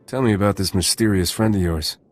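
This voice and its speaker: A man's voice: fairly low pitch, a little fast, dry recording, soft background music, lightly acted. A man playing a cocky, flirtatious smuggler captain in a video game, wry and flippant. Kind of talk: video game character acting.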